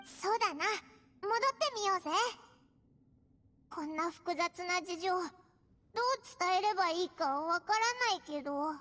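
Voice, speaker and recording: A woman's voice: very high voice, fairly quick, pauses often, a bit bright, no echo. A woman voicing an earnest, childlike companion in an anime-style game, with tender, emotive delivery. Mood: earnest, uncertain.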